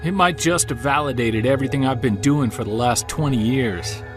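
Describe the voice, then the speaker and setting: An actor playing an earnest, driven middle-aged investigator in an audio drama, speaking intently. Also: male voice, medium pitch, normal speed, music in the background, natural voice. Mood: reflective, intent. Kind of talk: audio drama narration and dialogue.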